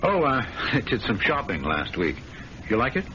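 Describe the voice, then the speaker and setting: An actor playing a stuffy, nervous middle-aged trust officer in an old radio drama, hesitant and stammering. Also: male voice, medium pitch, huge pitch swings, moderate pace, bright, forceful tone, a little hiss or hum. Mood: evasive, uneasy. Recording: dull old radio recording with music.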